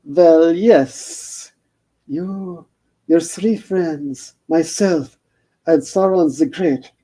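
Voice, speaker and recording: A seductive female spider hostess, all purr and theatrical menace, put on by a man reading a storybook aloud. She lingers over every word at a slow pace, pitched above his usual voice, with a dark, mellow tone. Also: slightly rough, heavily acted.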